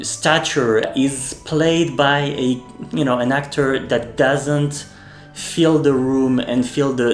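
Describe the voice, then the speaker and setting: A man whose first language is French talks through a commentary essay in a measured, steady way, often stopping on fillers and restarting his sentences. His voice sits in a middling register. It rings in an echoey room, with faint music underneath. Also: mid-range voice. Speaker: video essay host.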